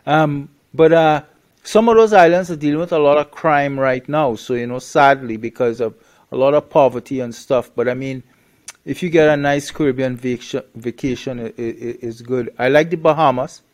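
A man's voice: medium pitch, moderate pace. A man from Trinidad, a guest on the show, talks bluntly in a casual interview, with many restarts and fillers.

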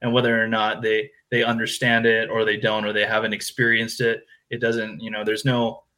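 A man's voice: fairly low pitch, normal speed. Laid-back slang-heavy man chatting. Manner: excitable, laid-back, full of slang and laughs. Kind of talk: casual chat.

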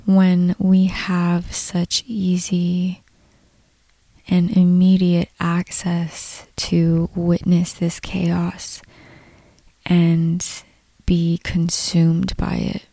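A woman leading a guided meditation in a soft, breathy, calm voice, unhurried, with long pauses between short phrases. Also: fairly low pitch, no room sound, low-quality recording. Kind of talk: slow guided meditation narration.

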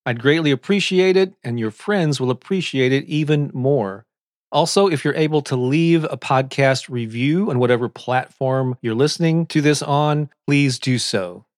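A man reading scripted motivational affirmations as a podcast host, in a deliberate, encouraging manner. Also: mid-range voice. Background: new-age music.

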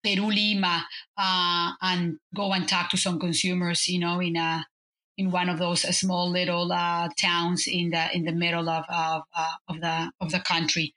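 Bright-voiced Mexican woman telling stories. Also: lowish voice, little pitch movement, normal speed, rough recording. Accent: Mexican Spanish. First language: Spanish. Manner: warm, storytelling, many fillers and restarts. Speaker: guest, business consultant. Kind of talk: conversational interview.

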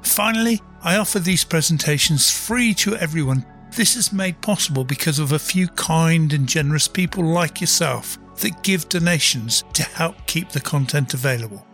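A man with a fairly high voice reads a scripted outro as presenter. Soft music runs beneath him. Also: normal speed. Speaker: presenter giving closing message. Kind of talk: scripted outro with donation appeal.

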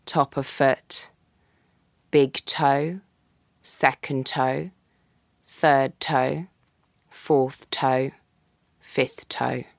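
A woman guiding a yoga nidra practice in a slow, soft, calm voice with long pauses. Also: rumbling low, creaky, dry recording, clean studio sound. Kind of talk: guided meditation.

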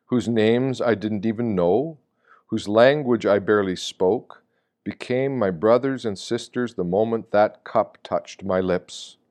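A man reading a scripted devotional reflection in a measured, reflective manner, often with rhetorical weight. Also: on the low side, a little mellow. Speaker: reader of Lenten meditations.

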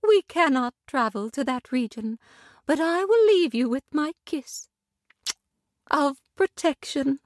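This is a graceful good witch from a storybook radio play, voiced by a woman narrator. She speaks tenderly and calmly in a lilting, much higher voice. The sound is dry and close. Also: slow pace, no echo.

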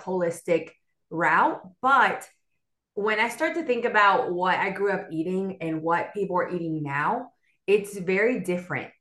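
A young adult woman from South Florida, a bilingual Spanish and English speaker, telling her story as a podcast guest in an animated, chatty way. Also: medium pitch, reverberant. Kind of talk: casual conversational storytelling.

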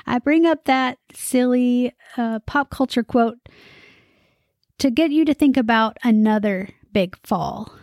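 Upbeat woman podcast host, fairly high voice. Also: slow, darkish tone, dry recording, clean studio sound. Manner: upbeat, conversational, lightly humorous.

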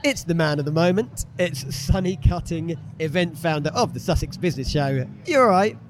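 A man with a slightly hoarse voice, enthusiastically interviewing exhibitors at a business show. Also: on the high side, lively intonation, a little hiss or hum. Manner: enthusiastic, quick to praise. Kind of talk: upbeat vox-pop interviewing. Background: exhibitors packing up.

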